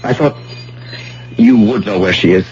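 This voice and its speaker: A man's voice: fairly low pitch, normal speed, darkish tone, soft background music, natural voice. An actor playing an earnest, hot-tempered young man, insistent and pleading as he searches, in an old-time radio drama. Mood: agitated. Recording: muffled, noisy vintage radio broadcast.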